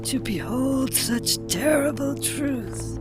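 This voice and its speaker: A woman playing an ancient, sorrowful alien wise one in a video game cutscene, solemn, deliberate and mournful, with music behind. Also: high-pitched, huge pitch swings, heavily acted. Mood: sorrowful, pleading. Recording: loud cinematic music behind.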